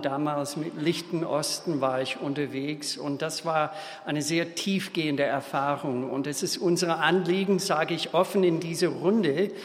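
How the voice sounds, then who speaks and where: A man in his fifties from Minnesota with an American accent, preaching a sermon in a halting way, with restarts and small slips. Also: a little high. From Minnesota, USA. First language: English. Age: 50s. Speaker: guest preacher. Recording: long hall reverb.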